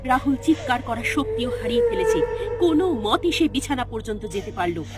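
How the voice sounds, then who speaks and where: A woman's voice: sits high, big pitch swings, fairly quick. A woman narrating a scripted horror story in a dramatic storytelling style. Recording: music behind the voice.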